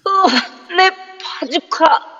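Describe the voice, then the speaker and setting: A woman's voice: extremely high pitch, lively intonation, drawn-out words, bright, forceful tone, heavily acted. A woman playing a brash young female fighter who blurts out her lines. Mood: startled. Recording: echoey, slightly dull sound.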